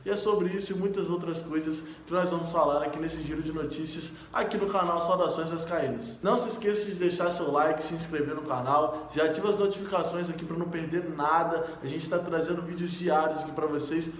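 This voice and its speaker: A man's voice: a little high, steady tone, fairly quick, hardly any pauses, slight background noise. A man with a Brazilian accent hosting a fan channel, giving a casual sports news roundup as an eager fan who calls the club "ours.". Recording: roomy echo.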